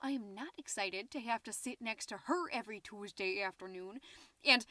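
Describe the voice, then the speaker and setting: A woman's voice: medium pitch, normal speed, sharp tone, dry recording, natural voice. A woman playing a gossipy, petty, easily flustered middle-aged American suburban mom, chattily hosting her podcast in a scripted comedic monologue. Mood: petty, indignant. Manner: chatty, indignant, with muttered asides. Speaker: podcast host.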